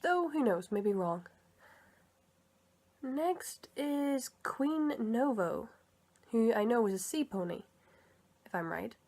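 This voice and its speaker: A woman's voice: on the high side, animated, slow pace, long pauses, a little mellow. A woman talking casually to her viewers in a vlog, chatty and rambling, correcting herself as she goes, and a bit sick. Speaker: video creator and comic dub reader.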